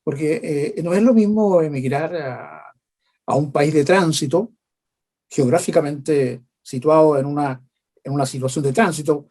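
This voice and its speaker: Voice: medium pitch, a little slow, dark tone. An interviewer speaks emphatically and keeps asking rhetorical tag questions. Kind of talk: interview, frequent backchannel.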